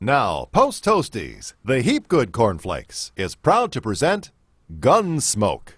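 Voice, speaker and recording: A man's voice: medium pitch, huge pitch swings, slow, fairly bright tone, husky, dry recording, clean studio sound. A man announcing a radio drama in a dramatic, declamatory 1950s style.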